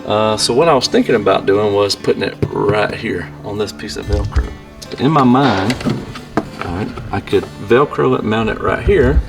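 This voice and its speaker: A man's voice: on the low side, creaky. A man hosting a casual how-to vlog, talking in a plain-spoken, informal and self-effacing way. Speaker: amateur pilot and video host.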